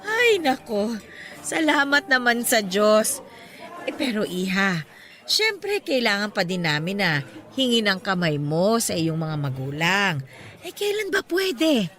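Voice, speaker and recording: A woman playing a jolly, teasing, doting older mother in a radio drama, expressive and chatty, full of exclamations. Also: mid-range voice, takes it slowly. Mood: grateful, warm.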